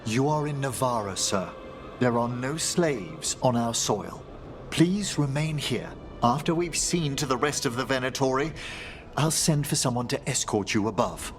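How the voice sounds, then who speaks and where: A man's voice: medium pitch, expressive, measured pace, over music, lightly acted. A man playing a middle-aged scholarly necromancer in a video game, formal, eloquent and polite, proud of his expertise. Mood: formal, composed.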